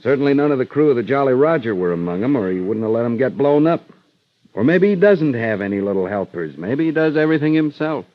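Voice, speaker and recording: An actor playing a matter-of-fact police sergeant in a vintage radio crime drama, businesslike and clipped. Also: male voice, medium pitch, big pitch swings, fast, close to an everyday voice. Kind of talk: 1940s-50s radio crime drama acting. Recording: dull vintage radio recording.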